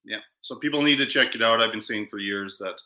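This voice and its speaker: A man hosting a casual podcast chat about film awards, talking in halting bursts full of restarts and repeated words. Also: lowish voice, bright, forceful tone, echoey room. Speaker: podcast host.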